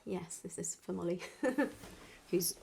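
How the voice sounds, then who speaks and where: A woman's voice: lowish voice, fairly even intonation, unhurried, creaky, dark tone, husky. A woman musician chatting informally and introducing songs, with an easy, laughing manner. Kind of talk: casual chat between songs.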